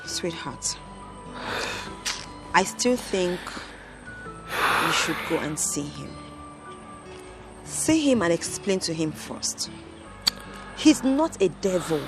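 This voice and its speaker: Actress as a soft, consoling woman, slow and gently lilting. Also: medium pitch. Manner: soft, soothing, reassuring.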